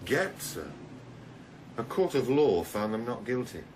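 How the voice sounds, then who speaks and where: An actor playing a middle-aged widower detective in a naturalistic radio drama, calm, dry and understated. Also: male voice, mid-range voice, a little slow, background noise. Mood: dry, reassuring. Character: steady, dry-humoured widower police detective.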